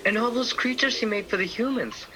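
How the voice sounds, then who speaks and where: A man's voice: very high-pitched, fairly quick, bright, forceful tone. A man playing an earnest, loyal guardian angel, conversational in delivery, in a radio play. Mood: admiring wonder. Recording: noisy home recording with ambience.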